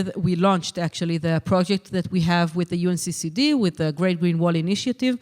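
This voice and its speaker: Convener at a conference, smooth clear tone, mid pitch, measured delivery with frequent filler pauses, speaking into a handheld mic in a hall. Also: darkish tone. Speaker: session convener. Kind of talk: conference moderation and answers.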